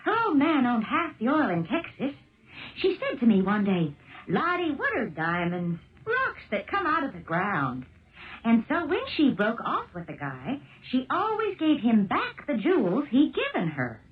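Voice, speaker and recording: Blunt, streetwise female gossip for seventies radio drama, very animated, on a muffled recording. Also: on the high side, a little slow. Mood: gossipy, knowing. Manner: blunt, slangy, know-it-all. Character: brassy, gossipy, streetwise woman eager for money. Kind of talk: 1970s radio drama acting.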